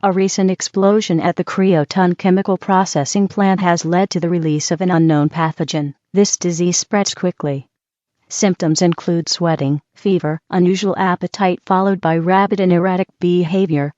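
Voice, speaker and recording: A woman acts as an official emergency announcer. Her lowish voice is impersonal, in dry, muffled broadcast sound. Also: steady tone, normal speed, darkish tone, no room sound, close to an everyday voice. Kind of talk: scripted announcement.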